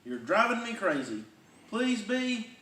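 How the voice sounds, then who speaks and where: An exasperated storybook big brother, a young boy pup trying to be responsible, voiced by a man. He pleads in a raised, very mobile pitch, heard in a roomy space. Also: sing-song, slow, pushed and bright, heavily acted. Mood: pleading. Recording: roomy, distant mic, faint noise.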